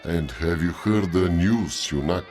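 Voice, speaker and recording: Man playing a very deep-voiced gruff innkeeper. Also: unhurried, a little mellow, faint music behind. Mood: gossipy. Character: gruff, sluggish male airport tavern innkeeper. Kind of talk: audio drama dialogue.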